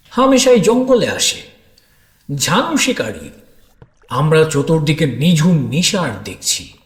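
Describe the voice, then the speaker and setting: Man, slow dramatic storytelling, very varied pitch, roomy echo. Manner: expressive, addresses listeners directly. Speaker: audio story narrator. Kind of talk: dramatic first-person scripted storytelling. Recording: roomy echo with music underneath.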